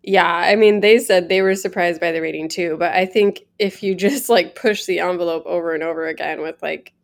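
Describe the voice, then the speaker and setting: Female podcast co-host, casual, quick to laugh, short chiming remarks. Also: lowish voice, fairly even intonation. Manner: laughs often, chimes in with short agreements. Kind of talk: casual chat.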